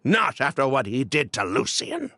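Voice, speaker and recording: An actor playing a defiant, embittered, grieving criminal in a video game, with theatrical delivery. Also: male voice, medium pitch, wildly swooping pitch, moderate pace, pushed and bright, no echo, heavily acted. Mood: scornful, vengeful. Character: bitter, defiant, grief-stricken male criminal mastermind. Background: faint music.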